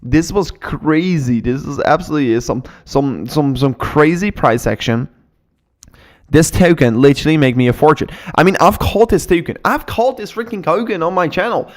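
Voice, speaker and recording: A young man hosting a live cryptocurrency stream in casual chat, speaking English as a learned second language, excitable and full of repeats and restarts. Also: a little high, moderate pace. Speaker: crypto livestream host.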